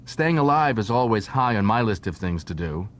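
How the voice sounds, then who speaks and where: A man's voice: sing-song, moderate pace. A man voicing a wily, flippant female con artist with a breezy, teasing, evasive manner in an audiobook. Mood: sly, flippant. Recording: noisy track with low rumble.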